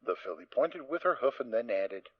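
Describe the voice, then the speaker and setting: A man narrating a fan audio drama in an expressive storytelling manner. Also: mid-range voice, fairly quick, no room sound. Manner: expressive reading. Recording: dull, dry lo-fi recording.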